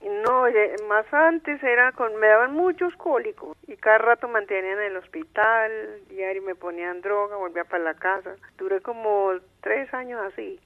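Over a tinny, narrow phone line, a man with a Colombian accent gives a casual testimonial. His voice is markedly high for a man. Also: moderate pace, few pauses. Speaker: listener giving a phone testimonial. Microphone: telephone.

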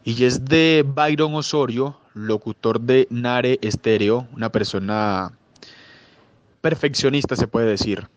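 Colombian host, dry muffled sound. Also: male voice, mid-range voice, slow, no echo. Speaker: interviewer host. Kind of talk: radio interview questions.

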